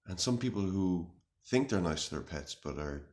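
A man in his thirties playing an anxious, earnest, melodramatic self-styled warrior in a halting, mock-serious monologue to camera. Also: sits low, lively intonation, a little mellow. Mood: grave, bewildered. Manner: halting, grave, deliberate delivery with long pauses. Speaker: comic video responder. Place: small room indoors.

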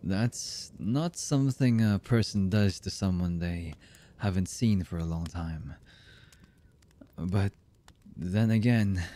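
Guy voicing a young anime villain boyfriend, low husky voice, super slow n hushed, guarded, wounded, asmr style. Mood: guarded, softening. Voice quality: husky, breathy. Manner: slow, halting, hushed delivery with long pauses. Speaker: voice actor.

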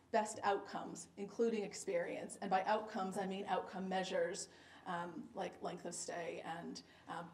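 A woman from the United States with a Boston accent, giving an emphatic, data-driven keynote talk at a conference. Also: medium pitch. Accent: Boston American. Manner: emphatic, data-driven, punchy repeated "fact" refrains. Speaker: keynote speaker, health service director. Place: conference lecture room. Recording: echoey room recorded from a distance.

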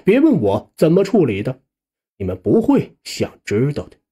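A man narrating a scripted first-person suspense audiobook in a conversational, expressive way. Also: on the high side, moderate pace, slightly rough.

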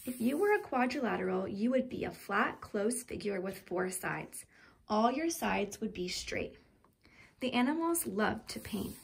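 A woman's voice: medium pitch, expressive, a little slow, gravelly, breathy edge. A woman reading a children's picture book aloud like a teacher, enunciating carefully and with expression.

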